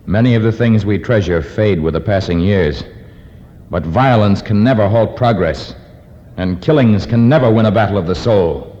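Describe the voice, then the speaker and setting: An actor playing a noble, grave, brave jungle hero in a 1950s radio drama, speaking in a measured, formal way. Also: male voice, fairly low pitch, warm tone, lightly acted. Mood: solemn. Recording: muffled, noisy 1950s radio recording, reverberant.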